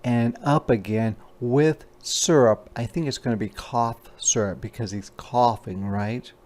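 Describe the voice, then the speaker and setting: A man with a mid-pitched voice reads a storybook for young children. He goes at an unhurried pace and adds simple, informal explanations like a teacher. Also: a little mellow.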